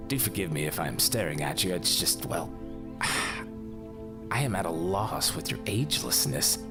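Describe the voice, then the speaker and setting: Florid courtly lord in his thirties, as a man plays him; lively swooping delivery over a music bed. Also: normal speed. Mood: awestruck. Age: 30s. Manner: florid, swooping and courtly, sinking low and brooding when upset. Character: vain flattering young male lord, theatrical and bitter. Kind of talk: audio drama acting.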